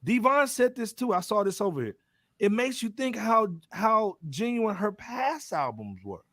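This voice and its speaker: A man's voice: high voice, very animated, normal speed, fairly bright tone, dry recording, clean studio sound. An American man hosting a music panel, talking casually in street slang and bantering with his panelists. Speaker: podcast host moderating a music panel.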